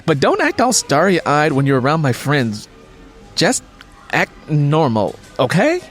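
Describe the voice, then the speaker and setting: A man's voice: fairly high pitch, wildly swooping pitch, unhurried, soft background music, pitched above the performer's own voice. A man voicing an eager, affectionate young daughter in an audiobook story. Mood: earnest, pleading.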